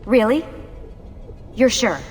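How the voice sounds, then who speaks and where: A woman's voice: fairly high pitch, animated, slow pace. A woman playing a serious, determined young assassin in a video game, speaking in an earnest, matter-of-fact way with urgency. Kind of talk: naturalistic video game acting. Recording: long hall reverb, clear music underneath.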